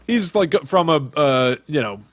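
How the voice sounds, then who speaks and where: An American man co-hosting a podcast, casually retelling stories in an animated way. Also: a little high, measured pace, slight background noise. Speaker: podcast co-host. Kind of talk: casual chat, retelling films and TV.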